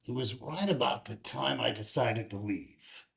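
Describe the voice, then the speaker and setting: A man's voice: mid-range voice, lively intonation, a little slow, creaky, fairly bright tone. A man playing a haunted, wistful small-town witness, brooding as he recounts an incident at a table read.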